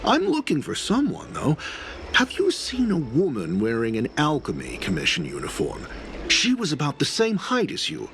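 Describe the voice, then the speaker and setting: An actor playing a falsely courteous, menacing cult agent in a video game, theatrical and measured. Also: male voice, on the high side, huge pitch swings, a bit bright, a little hiss or hum, exaggerated voice. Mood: falsely polite. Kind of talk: English game voice acting. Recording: game music behind.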